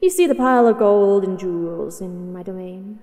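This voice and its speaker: A woman voicing a possessive female dragon in a roleplay audio, doting yet menacing, with slow, deliberate delivery. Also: medium pitch, warm tone, natural voice. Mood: teasing, commanding. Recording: long echoing reverb.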